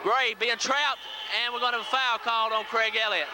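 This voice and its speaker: Male local-radio sportscaster, high voice, terse basketball play-by-play, noisy old broadcast tape. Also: fairly quick. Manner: clipped short phrases, excited on big plays. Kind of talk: live basketball play-by-play commentary. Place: high school gym. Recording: noisy 1980s local FM radio audio from VHS. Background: loud crowd, bouncing ball, gym noise.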